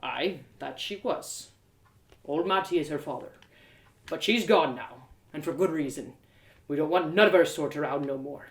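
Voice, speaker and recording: A woman's voice: sits low, moderate pace, voice pushed down. Woman putting on a blustery rustic village dad, roomy sound. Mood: guarded, stern. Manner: folksy, rustic, blunt. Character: blustering, hearty village father, middle-aged man.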